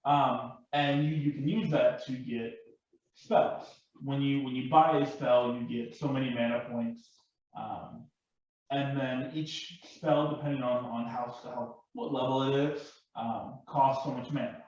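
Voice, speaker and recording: Halting man in a very echoey room. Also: medium pitch, fairly even intonation, a little mellow. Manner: halting, repeats words while explaining. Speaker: panel guest explaining his hobby. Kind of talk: casual explanatory chat. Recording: very echoey, dull, distant room audio.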